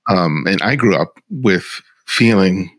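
A man's voice: low-pitched, animated, takes it slowly, a bit bright, no echo, low-quality recording. A man from Los Angeles interviewing a guest, speaking unhurriedly and sharing his own stories. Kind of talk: conversational interview.

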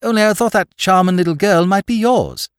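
Guy doing a posh english gentleman, chatty n super polite, rambles along breezily, pitch bouncing about. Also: sing-song, a little fast, no room sound, clean studio sound, natural voice. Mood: affable, rambling. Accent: upper-class English. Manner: breezy, rambling, courteous, affable.

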